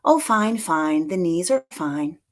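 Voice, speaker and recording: A woman's voice: fairly low pitch, animated, takes it slowly. A woman voicing an affectionate, sly grandmother in a picture-book story, putting on a vague, reassuring manner.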